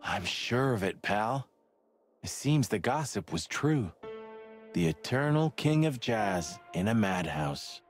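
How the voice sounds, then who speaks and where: An actor playing a teasing, wisecracking detective sidekick in a noir video game, playful and theatrical. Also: male voice, on the low side, big pitch swings, takes it slowly. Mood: insistent. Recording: faint music behind the voice.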